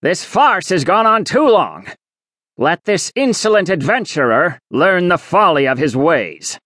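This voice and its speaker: A haughty old clockwork sorcerer, as a male narrator does him, hissing threats in a raised, lively voice. He drags his words out slowly for menace. It is a dry, close recording. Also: high voice, no echo, voice pushed up, full character voice. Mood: spiteful. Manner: hissing, imperious.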